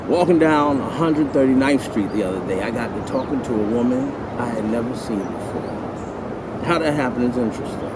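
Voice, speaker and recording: A man's voice: medium pitch, moderate pace, natural voice. A male actor playing an older, retired Harlem gardener, telling a folksy, reflective story as a conversational monologue. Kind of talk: theatrical monologue told conversationally. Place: outdoors in a city community garden. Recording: loud outdoor city noise, traffic.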